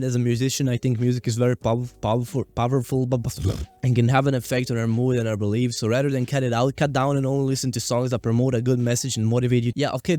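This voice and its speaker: A man's voice: medium pitch, mellow, no echo. A young man talking earnestly in a casual vlog, reasoning with the viewer and reading comments aloud. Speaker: YouTuber.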